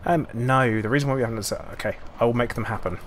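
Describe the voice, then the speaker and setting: A man's voice: mid-range voice, fast talker. A man from the UK talking casually as a live streamer while playing a game. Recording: noisy gaming voice chat.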